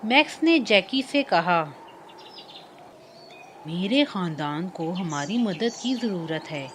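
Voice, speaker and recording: Woman narrator, slow delivery, animated intonation, scripted kids' story, loud background ambience. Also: medium pitch, heavy background noise.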